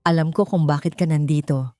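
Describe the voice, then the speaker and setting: A woman's voice: low voice, steady tone, a little fast, a little mellow, no echo, close to an everyday voice. A woman plays a greedy, manipulative husband from a melodramatic audio drama. The delivery is pressing and theatrical, in a dry recording with faint music behind it. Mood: smug, falsely sweet. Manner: falsely sweet, pressing, dramatic. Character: smug, greedy husband in jail, turning desperate and pleading. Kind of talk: Tagalog audio drama acting.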